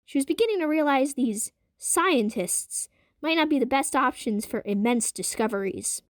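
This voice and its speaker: A woman's voice: high voice, animated, normal speed, no room sound, studio quality. A woman reading scripted narration for an audio drama.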